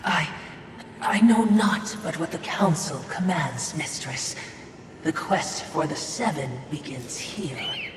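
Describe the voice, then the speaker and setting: A low-pitched, slow-talking demon servant in a video game, sly and obsequious. Her formal flattery sounds a little distant in an echoing hall with noise behind. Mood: servile. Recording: distant, echoey hall with background ambience.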